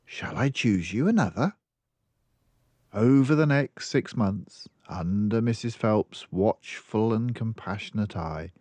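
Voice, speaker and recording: An older man narrating a children's book expressively, with measured and deliberate phrasing. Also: lowish voice, darkish tone, dry recording. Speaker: storyteller.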